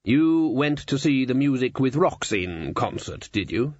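A man's voice: medium pitch, big pitch swings, unhurried, a little mellow, no echo, highs cut off. A man voicing a jovial older wizard who runs a university, bluff and courteous, in a storybook reading. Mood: blunt, conversational. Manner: bluff, conversational, courteous with hesitations.

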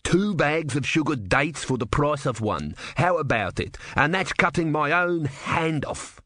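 A man's voice: on the high side, animated, a little slow, sharp tone, no room sound, pitched above the performer's own voice. A man putting on a pushy, hopeful street peddler who hawks his goods with a wheedling sales patter. The voice is a little raised. It is heard dry and close. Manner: hawking, wheedling.

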